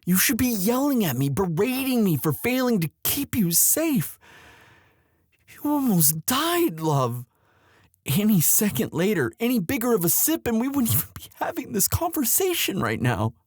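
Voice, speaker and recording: A man playing a lovestruck young prince in an intimate audio roleplay, tender and protective, with a halting, emotive delivery. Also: high voice, huge pitch swings, husky, no room sound, studio quality, heavily acted. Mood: distraught, tender. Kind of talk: boyfriend ASMR audio roleplay drama.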